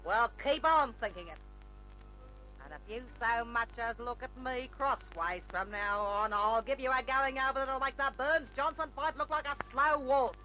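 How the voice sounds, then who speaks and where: An actress playing a tough, bullying Sydney woman with an Australian accent in an old radio drama, loud, goading and sarcastic. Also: high-pitched, normal speed, faint music behind, poor audio. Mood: scornful, triumphant. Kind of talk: old-time radio detective drama acting.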